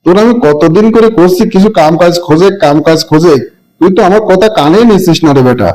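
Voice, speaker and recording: Old cartoon father, played by a man: rural Bangladeshi accent, careworn, grumbling yet loving, slightly raised pitch. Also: expressive, fast, a little mellow, natural voice, poor audio. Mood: weary. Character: poor old village father, weary, scolding but loving. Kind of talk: amateur cartoon voice acting.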